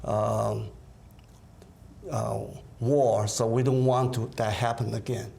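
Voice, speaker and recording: Hesitant man with mid pitch. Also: level tone, takes it slowly, vocal fry, dark tone. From Taiwan. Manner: hesitant, many fillers and restarts. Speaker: concert organizer. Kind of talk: interview answers.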